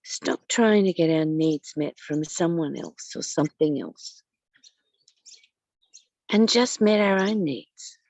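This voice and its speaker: A woman reading a spiritual text aloud and adding her own commentary as a teacher, speaking deliberately with pauses and repeated phrases. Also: low-pitched, lively intonation, a little mellow, no echo. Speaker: spiritual study teacher and reader.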